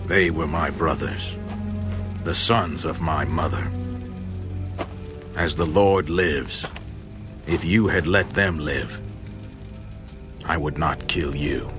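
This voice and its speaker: A man acting as a stern, vengeful war leader in a dramatized scripture reading, forceful and declamatory. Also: extremely low pitch, slow pace, long pauses. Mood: grim, cold. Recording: loud background music.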